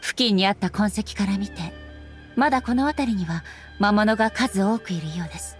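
A woman playing a solemn, dignified female ruler in an anime game, speaking formally and with measured politeness. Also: medium pitch, faint music behind, close to an everyday voice. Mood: resolute. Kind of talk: anime game dialogue acting.

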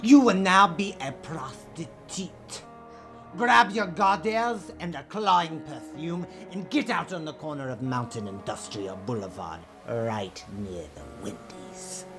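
Brief: actor as a domineering mock-French mime, drawn-out delivery, faint music under it. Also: male voice, on the high side, lively intonation, a bit bright. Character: menacing male mime with fake French accent. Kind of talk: campy web-series comedy acting.

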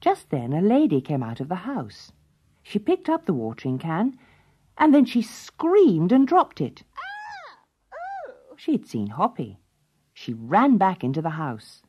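A woman narrating a children's storybook in an expressive, dramatic way. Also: lowish voice, measured pace, long pauses, husky, highs cut off.

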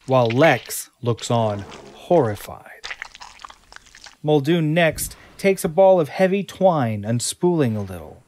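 A man's voice: medium pitch, a little mellow, slight background noise. A man narrating an audio drama from a script, describing the action.

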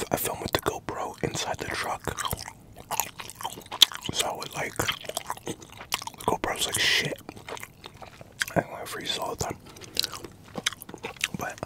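A man in his thirties, an ASMR creator, whispering a loose, profane eating chat into a desk mic inside a parked pickup truck. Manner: whispering, loose and profane, chewing between remarks. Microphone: large desktop mic in the cab, GoPro camera.

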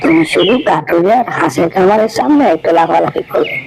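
Man voicing a high, creaky sly cartoon fox. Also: lively intonation, fast talker, noisy background. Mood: wheedling. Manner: wheedling, grumbling. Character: sly hungry male fox scheming to steal ducks. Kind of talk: cartoon voice acting.

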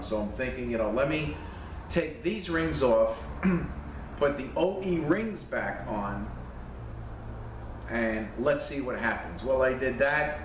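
A middle-aged man with a New York accent talks through his work in a casual, rambling way, full of fillers. He sits well back from the camera mic in a reverberant repair shop. A faint steady hum sits under his voice. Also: mid-range voice, distant mic. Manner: plainspoken, rambling with fillers, hands busy working. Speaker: transmission mechanic. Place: cluttered indoor repair shop. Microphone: camera mic, a few feet away.

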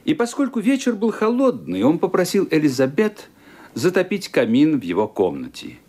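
A man's voice: a little high, huge pitch swings, moderate pace, darkish tone. A man reading the narration of a radio play with theatrical expression.

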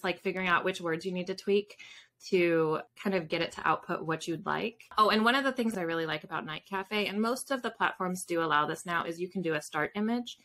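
Woman, 30s, author guest. Forceful bright tone, slightly low, conversational with asides. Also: slight background noise. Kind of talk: casual interview chat. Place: home room with bookshelves, indoors. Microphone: video call.

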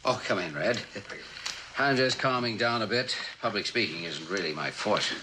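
An actor playing an earnest, stubborn male scientist in a mid-century television drama, speaking in a formal, measured, persuasive way. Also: lowish voice, animated, heavy background noise, lightly acted. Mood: polite, relieved. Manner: formal, measured, deliberate speechmaking delivery.

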